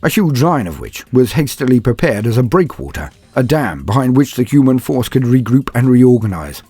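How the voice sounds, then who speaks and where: A man's voice: mid-range voice, sing-song, moderate pace, warm tone, slightly rough, no echo. A man narrating a comedic fantasy war story in a dramatic, mock-epic way with wry asides. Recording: dry close recording with faint music.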